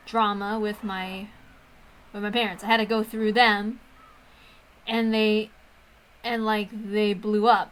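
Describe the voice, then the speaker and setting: A woman with a high, very bright voice talks casually on a livestream. She rambles, breaks off her own sentences and starts again, with an apologetic manner. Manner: rambling, apologetic, self-interrupting. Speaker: streamer who reads visual novels aloud.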